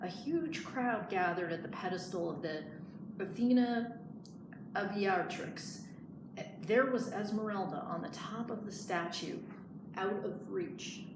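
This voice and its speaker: A woman's voice: mid-range voice, a little slow. Woman reading a storybook in an echoey room. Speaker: librarian reading aloud. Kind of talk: expressive picture-book read-aloud. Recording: echoey room, clear background noise.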